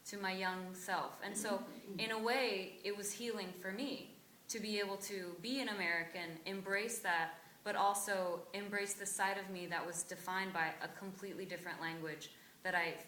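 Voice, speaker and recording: A woman's voice: medium pitch, steady tone, moderate pace, pushed and bright, slight background noise. A woman from Brazil, a cast member, giving an earnest personal reflection at a theatre talkback. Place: theatre auditorium. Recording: echoey theatre, distant mic.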